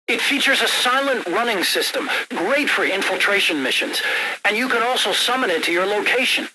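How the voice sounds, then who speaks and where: A man's voice: high voice, sharp tone, slight background noise, full character voice, low-quality recording. An actor playing an eager, proud military engineer showing off his invention in a video game briefing.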